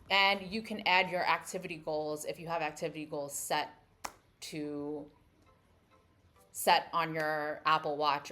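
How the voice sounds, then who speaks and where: American female content creator, slightly low voice, casual tutorial delivery, explanatory with frequent check-ins. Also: sharp tone. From United States. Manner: explanatory, often checking in with "right?". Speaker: travel content creator and host. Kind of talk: casual screen-share app walkthrough.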